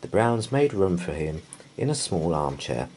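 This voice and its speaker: Low-voiced, highly animated male storyteller. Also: moderate pace. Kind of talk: bedtime story read aloud. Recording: faint background noise.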